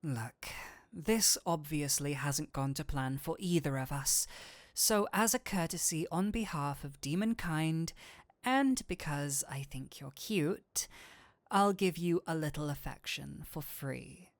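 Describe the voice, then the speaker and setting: A man acts out a nerdy male incubus demon in an intimate audio roleplay. He speaks slowly and theatrically, teasing and coaxing with a kindly streak. The sound is dry, with no room around the voice. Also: fairly high pitch, no room sound. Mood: seductive, teasing. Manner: coaxing, playful, theatrical switches between seductive and flustered.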